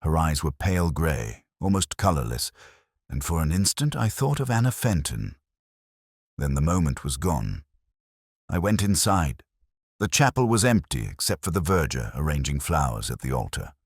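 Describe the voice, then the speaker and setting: Male actor as world-weary English detective narrator for radio-style mystery: extremely low, husky, solemn and reflective. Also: sing-song, no room sound, clean studio sound, heavily acted. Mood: wistful, resigned. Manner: solemn, wistful, measured. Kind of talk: first-person literary mystery narration.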